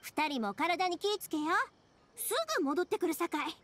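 A woman voicing a bubbly, warm-hearted, chatty young woman with a Kansai accent in an anime game. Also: very high-pitched, normal speed, a little hiss or hum. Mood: caring. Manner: excitable, chatty, friendly. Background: game music.

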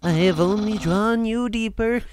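A woman voicing a pompous, earnest male skeleton from a video game, theatrical and halting. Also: mid-range voice, darkish tone, natural voice. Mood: apologetic, melodramatic. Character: pompous, dramatic, earnest male skeleton, lovable self-declared great friend. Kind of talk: video game character voice-over.

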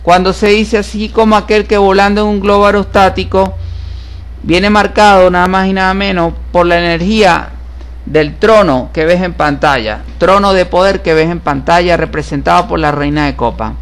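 A man's voice: high voice, steady tone, normal speed, smooth. A man giving a solemn, repetitive horoscope reading over tarot cards, stressing each point as certain. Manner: solemn, repetitive, emphatic about being certified. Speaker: tarot reader and astrologer.

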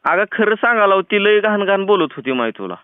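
Male prank caller, rural Maharashtrian accent, high-pitched, chatty and pushy, on a narrow phone line. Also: very animated, a little fast, clean tone, no echo. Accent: rural Maharashtrian Marathi. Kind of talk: casual teasing phone chat. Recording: tinny, phone-like band-limited sound.

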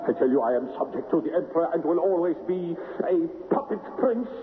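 An actor playing a despairing, brooding crown prince in a melodramatic 1970s radio drama. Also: male voice, fairly high pitch, lively intonation, fairly quick, close to an everyday voice. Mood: bitter anguish. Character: tormented, despairing Habsburg crown prince in love. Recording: muffled, hissy radio recording, long reverb.

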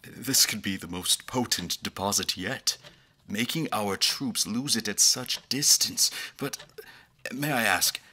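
An actor playing an earnest, deferential scholarly doctor in a fantasy audio drama, with a husky voice and formal speech. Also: male voice, fairly low pitch, slow, pushed and bright, heavily acted. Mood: alarmed, hesitant.

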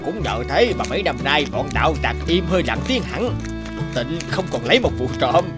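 A man acting male characters in an animated Vietnamese folk tale. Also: on the high side, moderate pace. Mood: scheming. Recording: loud background music.

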